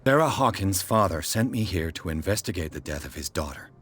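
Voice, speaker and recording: An actor playing a composed, persistent private detective in a video game, speaking in a measured, probing, firm way. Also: male voice, sits low, lively intonation, fairly bright tone, slightly rough, exaggerated voice. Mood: calm, probing. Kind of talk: video game cutscene acting.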